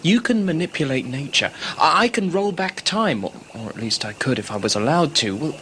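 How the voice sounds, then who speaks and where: Man acting a sceptical, animated adventurer, noisy recording. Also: fairly high pitch, a little fast. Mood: doubting, agitated. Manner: hesitant, questioning, restarts his phrases. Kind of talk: sci-fi audio drama acting.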